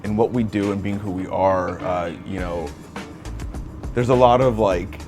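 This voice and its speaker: Lower-voiced guy chatting, earnest, trips over words a lot, music clearly playing behind. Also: steady tone. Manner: earnest, halting with repeated words. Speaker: actor co-hosting a chat. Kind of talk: casual conversation.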